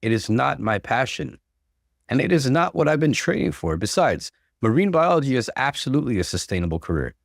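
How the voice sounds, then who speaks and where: A man's voice: medium pitch, very animated, brisk, gravelly, no room sound, clean studio sound. A man playing a frustrated, determined young student son in a story, earnest and firm.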